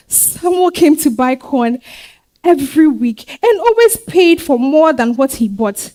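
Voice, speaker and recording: A woman with a Ghanaian accent playing a warm, weary young market-trader wife and mother in a staged reading, conversational and full of hums and exclamations. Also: extremely high pitch, heavily acted, poor audio. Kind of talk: dramatized stage reading, first-person storytelling.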